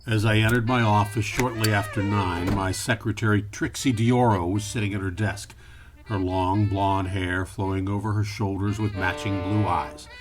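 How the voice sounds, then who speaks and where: Man playing a low-voiced deadpan noir detective. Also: moderate pace, close to an everyday voice. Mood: wry narration. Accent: American. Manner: deadpan, clipped, world-weary. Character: hard-boiled, wry male private eye narrating his case. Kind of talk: campy film noir radio acting. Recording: music behind the voice.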